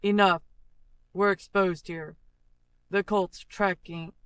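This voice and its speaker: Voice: fairly even intonation, slow pace, a bit bright, clean tone, no room sound. An actor playing a grim, commanding male cyborg in dramatic game-style lines, clipped and ominous, with digital robotic effects on the voice. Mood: grim, menacing. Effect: robotic digital pitch-shifted, chorus cyborg processing. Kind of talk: dramatic game character line reading.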